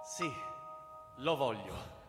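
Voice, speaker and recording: An actor playing a desperate, weary contestant being hunted, in an audio drama. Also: male voice, medium pitch, animated, extremely slow, a bit bright. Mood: resigned. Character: hunted male game-show contestant, desperate, weary, resigned. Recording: echoey long reverb, music behind.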